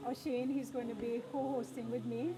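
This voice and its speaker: A woman's voice: a little high, flat delivery, normal speed, rough recording. A woman hosting a live outdoor event broadcast, upbeat and prompting her co-host with questions. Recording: crowd noise behind. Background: crowd chatter.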